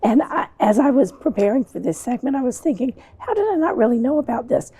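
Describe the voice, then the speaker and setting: A woman hosts a conversational TV interview with a very lively, swooping pitch. Her voice is mellow and a little rough around the edges. She is encouraging and affirms what her guests say. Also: fairly quick. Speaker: TV show host.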